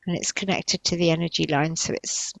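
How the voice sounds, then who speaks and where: Woman channeling visions, with creaky voice. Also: low-pitched, steady tone, no room sound, poor audio. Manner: halting, repetitive, searching phrasing. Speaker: spiritual healer channeling in a session. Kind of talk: unscripted trance-like spiritual channeling, describing visions.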